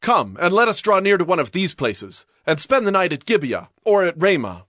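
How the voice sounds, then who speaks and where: An actor playing a resolute traveler and master, speaking in an earnest, declamatory way in scripture drama. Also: male voice, sits high, sing-song, normal speed, fairly bright tone, dry recording, studio quality. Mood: firm. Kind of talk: dramatized audio Bible acting. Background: background music.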